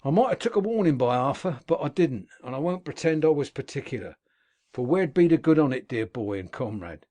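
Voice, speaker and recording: A man playing a gruff old convict. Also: mid-range voice, animated, fairly quick, dark tone, lightly acted. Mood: grim recounting. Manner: earthy dialect speech, gruff storytelling. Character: rough old male convict telling his past. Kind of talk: audiobook character reading.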